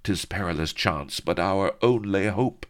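A man voicing a prissy, archaic-speaking young English noblewoman in a high, affected falsetto. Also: measured pace. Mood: urgent. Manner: high falsetto, affected old-fashioned speech.

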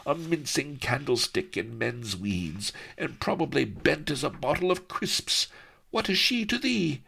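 A man's voice: mid-range voice, expressive, measured pace, creaky, bright, forceful tone, husky, voice pushed up, exaggerated voice. A man voicing a bloodthirsty, passionate pirate woman who speaks in a theatrical, exultant way.

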